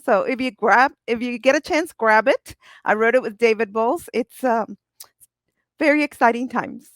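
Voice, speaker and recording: A woman's voice: a little high, moderate pace, no room sound. A woman from Mexico, introducing herself as an author on a panel in an upbeat way. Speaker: author guest.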